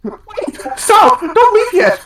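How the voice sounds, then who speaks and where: A man's voice: very high voice, sing-song, slow pace, a bit bright, echoey room, voice pushed up, full character voice. A man voicing a brash, impatient teenage male cartoon hedgehog in an amateur audio drama. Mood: desperate.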